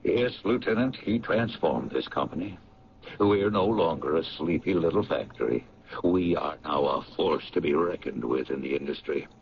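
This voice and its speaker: A man's voice: rumbling low, expressive, normal speed, noisy background. An actor playing a rambling male factory owner in a 1970s radio drama. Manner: rambling, chatty. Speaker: paint factory boss. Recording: dull lo-fi 1970s radio recording.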